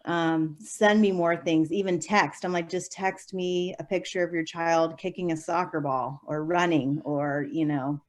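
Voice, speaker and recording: Chatty woman teacher, lowish voice. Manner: chatty, frequent fillers and light laughs. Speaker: special education preschool teacher. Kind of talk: interview answers, conversational.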